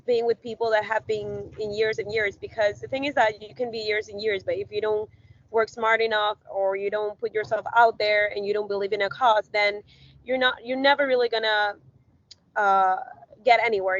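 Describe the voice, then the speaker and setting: A woman's voice: medium pitch, steady tone, moderate pace, highs cut off. A woman from Ecuador, a guest in an interview, talking earnestly with lots of fillers and rambling asides. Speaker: guest, visibility strategist. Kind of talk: casual interview talk.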